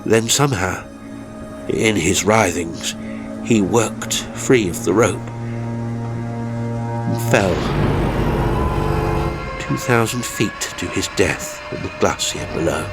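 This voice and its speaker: A man playing a haunted, seasoned mountaineer telling a horror story in a measured, formal, gravely intense way. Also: sits low, lively intonation, music in the background, full character voice. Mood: horrified. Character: seasoned male mountaineer, haunted, grimly recounting a fatal climb. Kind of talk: dramatic first-person horror storytelling.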